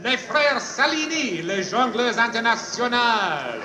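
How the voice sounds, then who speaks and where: An actor playing a grandiose French circus ringmaster in a 1940s radio drama, announcing in a showy, declamatory style. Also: male voice, very high-pitched, big pitch swings, a little slow, noisy background, full character voice. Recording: echoey hall in old radio broadcast.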